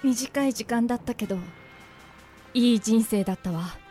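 A woman playing a sharp-tongued, wryly fatalistic young woman in a comedy radio drama. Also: on the high side, moderate pace, lightly acted. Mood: gloomy dread. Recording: long reverb with background music.

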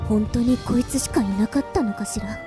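A woman's voice: on the high side, fairly quick, loud music behind the voice, close to an everyday voice. A woman playing a haughty young demon-race girl in an anime-style radio drama, scolding and imperious. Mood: imperious, exasperated.

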